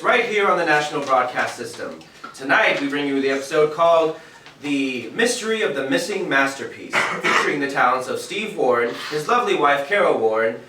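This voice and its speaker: Man acting a bright, showy radio announcer. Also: on the high side, distant mic. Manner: showy, formal presenting. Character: upbeat male announcer of a live radio mystery show. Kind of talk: old-time radio announcing on stage. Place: theatre stage, recorded from a distance. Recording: roomy stage recording from afar, some noise.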